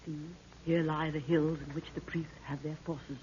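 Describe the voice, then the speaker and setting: A woman's voice: low voice, level tone, normal speed, a little mellow, lightly acted. An actress playing a proud, commanding queen with solemn archaic speech in an old radio drama. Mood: grave, stately. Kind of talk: 1930s radio drama acting. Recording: dull, noisy 1930s radio recording.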